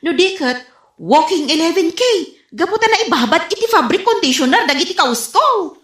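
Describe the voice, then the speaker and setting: A woman acting in a comedy radio drama as an excitable, exclaiming village woman. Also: extremely high pitch, normal speed, heavily acted. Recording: roomy, echoing sound.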